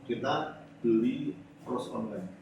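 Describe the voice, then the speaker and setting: A man, a guest in a casual interview, telling lively stories and often finishing the host's phrases. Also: medium pitch, takes it slowly. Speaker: guest, animation studio founder. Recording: echoey room, distant mic, faint noise.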